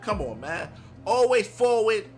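Male American game streamer, 30s. Highish, swooping delivery, hyped and slangy, talking over clear music. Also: big pitch swings, a little slow. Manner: hype, slangy trash talk. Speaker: streamer and gaming host. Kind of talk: excited gameplay commentary and banter. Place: indoor streaming setup. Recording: music playing behind the voice.

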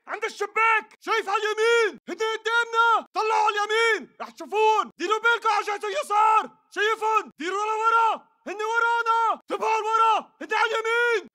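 An actor playing an aggressive militant fighter in a video game, barking terse, urgent combat callouts with a Levantine Arabic accent. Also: male voice, very high-pitched, pushed and bright, heavily acted. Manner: terse, barked battle callouts.

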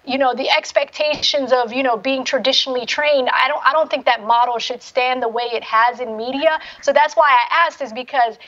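Young woman. Articulate, affirming panel host, high voice, lo-fi call audio. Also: brisk, few pauses, a bit bright. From Somalia, raised in Atlanta from childhood. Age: young adult. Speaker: panel moderator. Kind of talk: panel discussion, conversational. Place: indoor room at home, on a video call. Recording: dull video-call audio.

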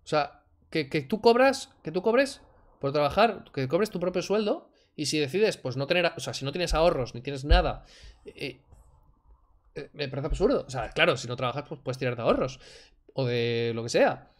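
A man's voice: fairly high pitch, moderate pace. A man, commenting sarcastically on a video clip his home desk. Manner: sarcastic, animated, mocking. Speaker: reaction streamer. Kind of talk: casual commentary over a clip. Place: home room, indoors. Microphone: desk mic, wearing headphones.

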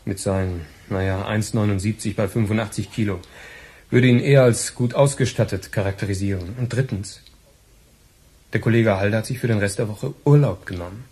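An actor playing a dry, ironic, officious police detective in a radio crime drama, with a husky voice. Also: male voice, lowish voice, a little fast, a little mellow. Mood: probing, dry. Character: sardonic male police detective questioning a witness. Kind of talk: 1980s German radio crime drama acting.

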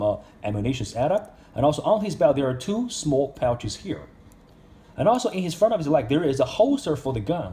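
A man's voice: mid-range voice, dark tone. A man reviewing an action figure in casual speech, with simple, non-native English phrasing. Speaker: toy reviewer.

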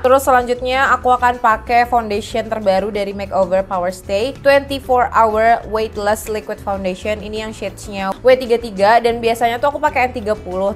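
A young woman with a stuffy, blocked nose from a heavy cold, chatting casually through a makeup tutorial at home, with offhand self-deprecating asides. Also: a little high. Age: young adult. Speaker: beauty vlogger. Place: small indoor room at home, rain outside.

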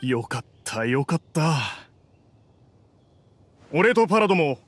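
A man's voice: on the high side, big pitch swings, takes it slowly, fairly bright tone, a little hiss or hum, heavily acted. A man playing a hearty middle-aged adventurers' guild master in anime-style game dialogue. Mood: pleased, firm.

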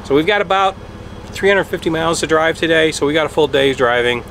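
A man's voice: fairly high pitch, steady tone, fast, gravelly, a bit bright, a little hiss or hum. A man in his forties talking casually to camera outdoors at a campground, plain and matter-of-fact with frequent ums. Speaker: RV travel vlogger. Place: outdoors at a wooded campground beside a pickup truck. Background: idling truck engine.

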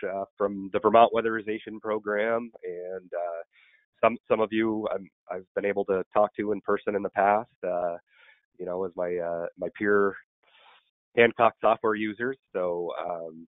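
Man, hoarse and raspy, low-pitched, halting webinar presenter, tinny call audio. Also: dry recording. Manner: halting, many ums and restarts. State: hoarse from a sore throat. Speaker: state weatherization program staff, webinar presenter.

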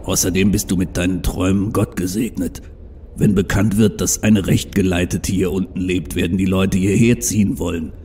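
A man's voice: low-pitched, steady tone, normal speed, a little mellow, slight background noise, close to an everyday voice. A man playing a proud, embittered and stubborn Egyptian troll in a fan audio drama, speaking in earnest, emphatic speeches. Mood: determined.

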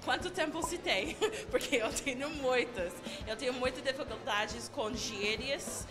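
A young woman with an American accent answers questions warmly, laughing often and feeling her way through the words. Her voice is high, and music plays underneath. A handheld mic picks her up on a studio set. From United States. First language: English. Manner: laughing, friendly, halting learner's speech. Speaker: guest youtuber. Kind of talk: interview answers. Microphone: handheld mic held by interviewer.

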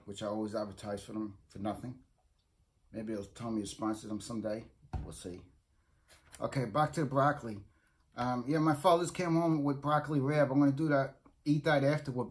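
A middle-aged man with a New York accent, rambling casually to a close phone camera in a small room at home, often restarting his sentences. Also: medium pitch. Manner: rambling, repeats himself, frequent restarts. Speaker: vlogger. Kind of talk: casual food review vlog. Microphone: phone or webcam close up.

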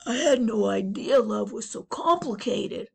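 A woman's voice: on the high side, lively intonation, a little slow, gravelly, breathy edge, heavily acted. A woman voicing an earnest, lovestruck young schoolboy who pleads eagerly. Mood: unsure.

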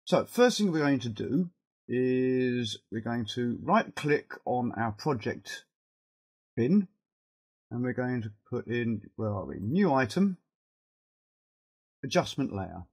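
A middle-aged man with a cold and a fading, husky voice, talking haltingly through an informal step-by-step software tutorial and thinking aloud as he works. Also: mid-range voice, very animated. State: has a cold, losing his voice. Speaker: video editing tutorial presenter.

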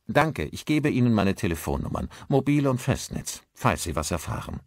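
A courteous, earnest man asking questions in an audiobook, voiced by a male reader. His tone is inquiring and his pitch moves in a lively way. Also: moderate pace, dry recording, studio quality. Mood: polite, inquiring.

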